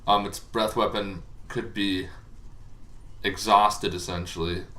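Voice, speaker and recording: A man's voice: on the low side, flat delivery, takes it slowly, pushed and bright. A man giving a casual, unscripted explainer as a dungeon master, talking in a loose, rambling and hesitant way. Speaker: dungeon master explaining tabletop monster lore. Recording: faint background noise.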